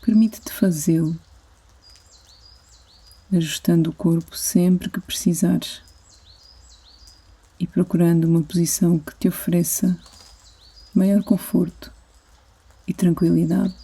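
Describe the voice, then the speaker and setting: A woman with a European Portuguese accent leads a guided sleep meditation. Her voice is very dark and mellow, slightly husky, and she speaks very slowly, gently leaving long pauses between phrases. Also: on the low side, fairly even intonation. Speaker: yoga nidra instructor.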